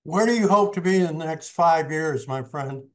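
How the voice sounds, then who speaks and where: Higher-voiced man asking questions. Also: moderate pace, fairly bright tone, poor audio. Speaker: podcast host. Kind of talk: interview questions.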